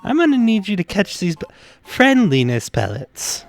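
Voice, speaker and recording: A tiny talking flower from an animated fan drama. The pitch leaps around in a grating, sugary, folksy chirp. The friendliness is fake, with menace underneath, and music plays behind it. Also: moderate pace. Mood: sly. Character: small talking flower, falsely cheerful, sinister underneath. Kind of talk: animated fan fiction character acting.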